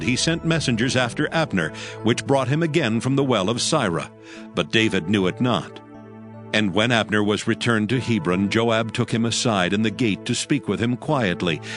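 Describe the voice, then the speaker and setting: A man with a fairly low voice reads scripture in an even, measured way. Clear background music plays under his narration.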